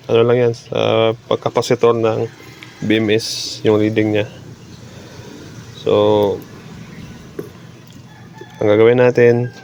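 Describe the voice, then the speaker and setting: Filipino guy doing a repair tutorial, lowish voice, halting, kinda muffled audio w a bit of background noise. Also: gravelly, a little mellow. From Philippines. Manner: halting, talking while working, mixing Tagalog with English terms. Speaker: repair technician demonstrating a fix. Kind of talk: casual hands-on repair tutorial narration.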